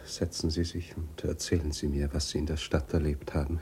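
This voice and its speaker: An actor playing a weary, bitter, resigned husband in a period radio drama, halting and subdued, sometimes half-whispering. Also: male voice, very deep voice, little pitch movement, darkish tone, husky, full character voice.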